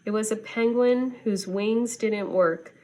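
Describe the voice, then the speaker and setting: Female storybook reader, teacherly: unhurried, expressive delivery at a medium pitch, for young listeners. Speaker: schoolteacher reading to students. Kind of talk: children's storybook read-aloud.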